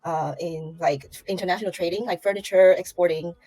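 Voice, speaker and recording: A woman's voice: lowish voice, steady tone, a little fast, mellow, poor audio. A woman from Taiwan, a guest on a livestream interview, chatting casually with many fillers and restarts over a remote call mic.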